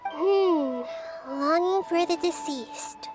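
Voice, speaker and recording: A woman voicing a small, wise, gentle child goddess in a video game, measured and thoughtful. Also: very high-pitched, heavily acted. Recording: music playing behind the voice.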